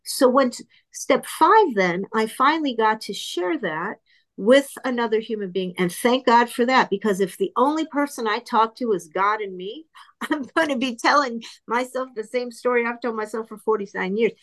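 A woman's voice: a little high, moderate pace, fairly bright tone, low-quality recording. A woman in her fifties giving an earnest, candid, self-deprecating recovery share to a group over an online call from her computer. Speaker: speaker sharing at a twelve-step meeting.

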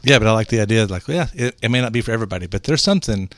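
A man hosts a relaxed interview, talking haltingly and trailing off into fillers. His voice sits on the low side. The sound is dry and close. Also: dry recording. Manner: halting, lots of fillers and restarts. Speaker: podcast host. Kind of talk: casual interview chat.

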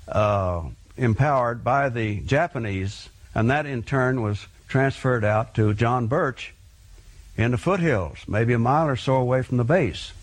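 An older male veteran recalls past events in an interview. His voice sits in the middle range, and he talks matter-of-factly with some halting. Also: mid-range voice. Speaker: veteran giving recollections. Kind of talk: interview recollection.

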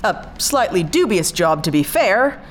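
A woman's voice: mid-range voice, sing-song, a little slow, slight background noise. A woman playing a no-nonsense spaceship captain in a sci-fi audio drama, dry, bossy and sarcastic. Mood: businesslike. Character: brisk, no-nonsense female spaceship captain, sardonic and exasperated.

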